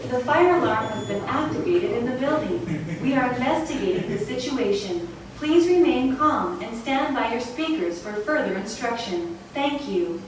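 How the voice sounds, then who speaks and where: A woman reading a formal, measured, scripted public address announcement, played through an intercom speaker. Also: a little high. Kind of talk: scripted pre-recorded announcement. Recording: very echoey intercom playback, distant, faint noise.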